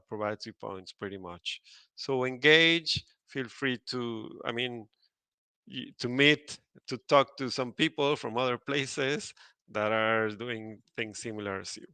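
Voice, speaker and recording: Man, event host, announcements, hesitant, bright clear voice, dry sound. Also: mid-range voice, no room sound. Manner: hesitant, many fillers and restarts. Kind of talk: conference announcements and speaker introduction.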